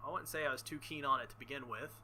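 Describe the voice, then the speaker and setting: A man reading a visual novel aloud with casual commentary, halting and embarrassed, often breaking into nervous laughter. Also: medium pitch, sharp tone, slight background noise. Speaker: let's play host.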